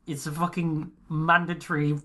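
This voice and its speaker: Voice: mid-range voice, little pitch movement, moderate pace, a bit bright. A podcast co-host in casual chat who swears freely, laughs mid-sentence and often trails off and restarts.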